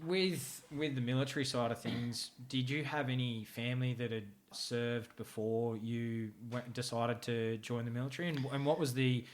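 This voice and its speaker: A man's voice: medium pitch, fairly even intonation, normal speed, hardly any pauses. An Australian man hosting a podcast interview, stumbling over repeated words as he asks questions. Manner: stammering restarts when asking questions. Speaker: podcast host. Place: guest's home.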